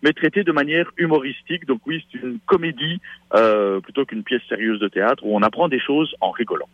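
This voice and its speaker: A middle-aged man, a stage actor, chatting as a guest in a radio interview about his show with a lively, promotional manner. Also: mid-range voice, fairly quick, dry recording. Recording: dull, slightly lo-fi radio sound.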